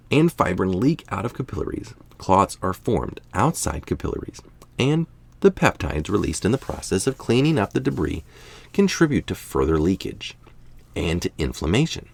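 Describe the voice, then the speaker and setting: A man's voice: sits low, gravelly, a little mellow. A man reading a scientific health article aloud, now and then adding a casual remark of his own.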